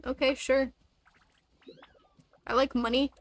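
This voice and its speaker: A woman's voice: sits high, flat delivery, takes it slowly, dry recording. A woman chatting casually on a gaming livestream, rambling along with the odd swear word.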